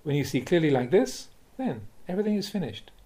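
Mellow, expressive man reading Buddhist teachings. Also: a little high, a little fast. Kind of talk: audiobook-style reading of a dharma talk with questions and answers.

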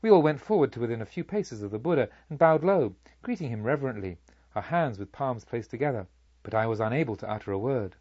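A man narrating a literary story as an audiobook, in a formal, deliberate storytelling manner. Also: mid-range voice, expressive, darkish tone, no room sound, muffled recording. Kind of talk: expressive scripted literary narration.

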